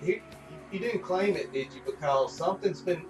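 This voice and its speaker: A man's voice: a little high, moderate pace, a little mellow, distant mic. Joking man hosting in an echoey room. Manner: joking, rambling. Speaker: host and writer of live radio plays. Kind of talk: casual live-stream chat. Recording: echoey room, off mic, music behind.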